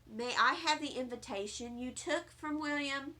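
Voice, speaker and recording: A woman voicing a stern, exasperated female schoolteacher in a children's storybook, speaking in a firm, deliberate, scolding manner. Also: high voice, bright, forceful tone.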